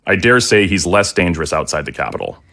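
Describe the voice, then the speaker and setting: An actor playing a grave, guarded older general in an audio drama, speaking in a formal, measured, confiding way. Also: male voice, sits low, expressive, pushed and bright, exaggerated voice. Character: grave, guarded older male military general, quietly loyal.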